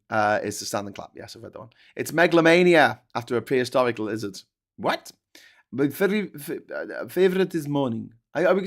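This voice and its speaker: A man's voice: on the high side, fairly bright tone. A man hosting a casual morning livestream, reading comments aloud with sarcastic, rambling asides. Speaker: host.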